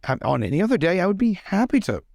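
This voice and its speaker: Polite, placating gentleman lord, tabletop game role, played by a man, chattering, lively swings in pitch, slightly gravelly. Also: very fast, dry recording, studio quality, exaggerated voice. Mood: flustered. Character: dapper, polite, flustered gentleman lord who likes a scrap.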